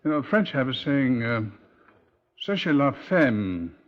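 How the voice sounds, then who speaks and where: An actor playing a shrewd, ruminative police superintendent with a pompously droll manner, in an old radio crime drama. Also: male voice, mid-range voice, very animated, a little slow. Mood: musing, pompous. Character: shrewd, musing senior police superintendent, fond of quotations. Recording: muffled, noisy 1950s radio recording.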